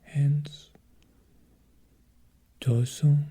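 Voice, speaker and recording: Very slow, dark-voiced man guiding meditation. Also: on the high side, slightly rough. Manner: calm, measured, short phrases with long pauses. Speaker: meditation teacher.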